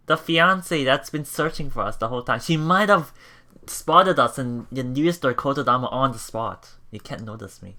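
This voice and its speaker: An excitable game streamer who reads story text aloud and reacts casually, often repeating words and phrases. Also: mid-range voice, gravelly. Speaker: let's play streamer.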